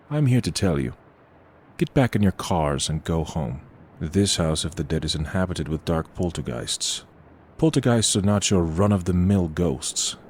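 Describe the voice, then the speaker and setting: Casting: formal, foreboding agent of a haunted inn, low-pitched, measured delivery, horror audio drama, slight background noise. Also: male voice, darkish tone. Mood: ominous warning. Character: grave, formal male representative of a haunted inn.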